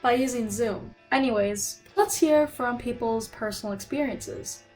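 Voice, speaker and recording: A woman's voice: a little high, unhurried, darkish tone, faint music behind. A young woman talking casually as a vlogger, chatty and asking her viewers questions. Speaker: student vlogger interviewing friends. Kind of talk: casual vlog narration.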